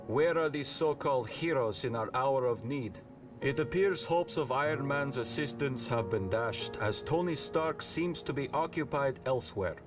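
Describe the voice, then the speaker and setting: An actor playing a grave, formal television news anchor in a motion comic. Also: male voice, medium pitch, expressive, normal speed, far from the mic, over music, natural voice. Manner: formal, solemn.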